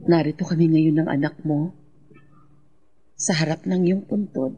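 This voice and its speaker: An actress playing a grieving widowed mother in a Filipino radio drama, with a husky voice. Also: low voice, fairly even intonation, moderate pace, warm tone, dull audio. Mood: solemn gratitude. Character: grieving widowed mother addressing her dead husband.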